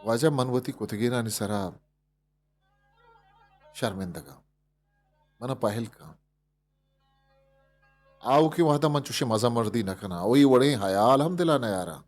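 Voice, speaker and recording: A man's voice: medium pitch, lively intonation, slow pace, long pauses, a little mellow. A man with a Balochi accent voicing a small mouse in a storybook fable, boastful yet timid when tested. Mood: ashamed pleading. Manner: self-important, then pleading. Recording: music behind the voice.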